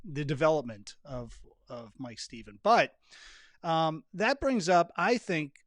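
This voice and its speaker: A man with a slightly higher-pitched voice hosts a podcast in loose, casual conversation. He is talkative and emphatic, often doubling back on phrases to stress them, and the sound around his voice is very dry. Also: a little slow, no room sound. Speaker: podcast host. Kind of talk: casual interview chat.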